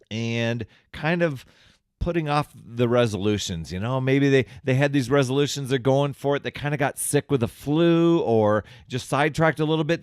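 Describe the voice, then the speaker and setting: A man hosting a solo podcast, talking in a casual, chatty, conversational way. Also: mid-range voice. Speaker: podcast host.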